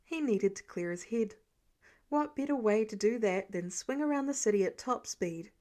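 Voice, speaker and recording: A woman reading scripted fiction aloud as an expressive audio story narrator. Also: a little high, moderate pace. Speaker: podfic narrator.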